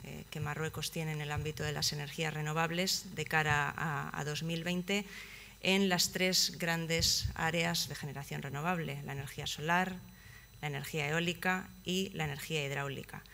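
Hesitant woman presenting, gravelly and bright. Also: sits low, fairly even intonation, normal speed, slight background noise. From Basque Country, Spain. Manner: hesitant, many fillers and restarts. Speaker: project manager presenting at a conference. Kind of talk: conference presentation. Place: conference hall, seated at a table.

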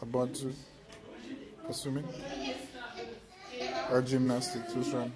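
A man's voice: medium pitch, monotone. A Jamaican man, a parent, chatting casually and coaxing a toddler while filming in a mall. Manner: slow, easygoing, coaxing the child. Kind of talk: casual family chat. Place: indoor shopping mall. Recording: music playing behind.

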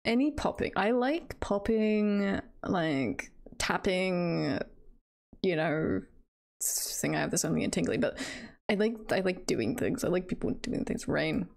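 A woman's voice: on the low side, expressive, unhurried, vocal fry, a little mellow. A woman chatting casually on a livestream in a giggly, playful, rambling way. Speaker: livestreamer, virtual avatar host.

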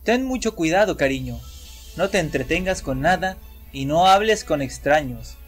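A loving mother from a fairy tale, tender yet careful in her warnings, voiced by a man. Her tone is lifted and soft, with quiet music behind. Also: on the high side, expressive, normal speed. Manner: gentle and warning. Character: caring, worried mother of a little girl.

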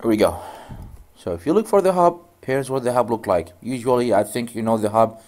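A man's voice: mid-range voice, lively intonation, a little fast, darkish tone, a little hiss or hum. A man whose native language is Arabic, walking through a networking tutorial in loose, rambling speech with frequent restarts. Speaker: networking instructor. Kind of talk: screen-recorded tutorial.

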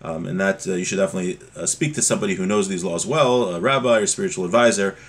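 A middle-aged man giving an informal religious lesson, explaining with examples in a conversational, somewhat halting way. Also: deep voice. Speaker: religious teacher. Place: small home room, indoors. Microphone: webcam or laptop mic at desk distance.

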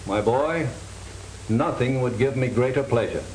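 Man acting as old prison warden in his 60s, formal and doubting, middle pitch, noisy old movie audio. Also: natural voice. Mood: skeptical, fatherly. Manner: formal, measured. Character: formal, skeptical elderly male prison warden. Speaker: actor playing a prison warden. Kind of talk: 1930s film acting. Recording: noisy 1930s film soundtrack.

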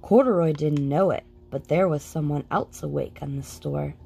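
A woman reading a children's picture book aloud with a lilting, expressive storytelling manner. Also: deep voice, normal speed, creaky, breathy edge. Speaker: storybook reader.

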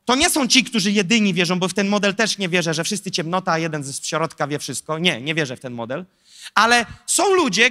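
A man in his thirties preaching a sermon into a handheld mic on a large church stage, animated and rhetorical, with lively asides to the audience. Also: high voice. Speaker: pastor. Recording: long hall reverb.

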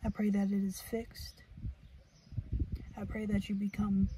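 Casting: American woman vlogger, thirties, unhurried and earnest, reflective chit chat with long pauses, mid-range voice. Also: medium pitch, level tone, warm tone. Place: inside a parked car. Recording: distant mic, clear background noise.

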